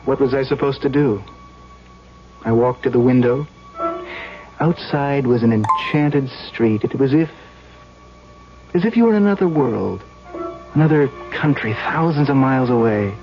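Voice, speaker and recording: An actor as an uneasy official, muffled radio sound. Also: male voice, mid-range voice, moderate pace, long pauses, faint music behind, natural voice. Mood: troubled, reflective. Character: conflicted public official, reflective, uneasy man. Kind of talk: radio drama acting, first-person narration. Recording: dull old radio recording.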